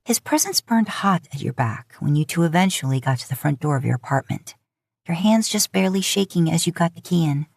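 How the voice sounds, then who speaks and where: Low-voiced woman narrating a romance story. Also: animated, fairly quick, no echo. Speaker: podfic narrator. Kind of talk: scripted second-person romantic story narration.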